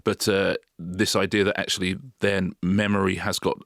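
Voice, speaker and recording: A middle-aged man, co-hosting a casual podcast chat, eager and quick with stammered restarts. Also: deep voice, little pitch movement, gravelly, fairly bright tone, no echo, clean studio sound. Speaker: podcast co-host.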